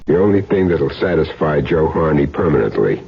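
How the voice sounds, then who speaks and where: An actor playing a tough, world-weary hired gun in a radio drama, blunt and streetwise in a measured way. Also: male voice, a bass voice, big pitch swings, creaky, husky, full character voice. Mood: grim, calculating. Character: tough, world-weary male hired hitman with a soft spot. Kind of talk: 1970s radio drama acting. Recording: muffled old radio recording.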